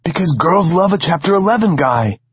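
A man's voice: sits high, expressive, brisk, slightly rough, no room sound, dull audio, voice pushed up, full character voice. A man voicing a brash, teasing teenage boy who keeps pushing his friend, in an audiobook reading. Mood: pushy eager.

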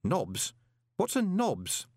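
A man voicing a shrewd, wry adult postmaster with a dry, smooth-talking manner. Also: high voice, huge pitch swings, drawn-out words, darkish tone, no room sound, voice pushed up, full character voice. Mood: probing.

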